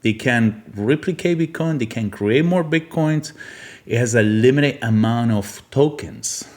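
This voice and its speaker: A man's voice: medium pitch, measured pace, a little mellow. A man from Argentina hosts an unscripted, opinionated monologue, speaking bluntly and emphatically and often repeating himself. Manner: blunt, emphatic, repetitive, swearing. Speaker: podcast host, physician.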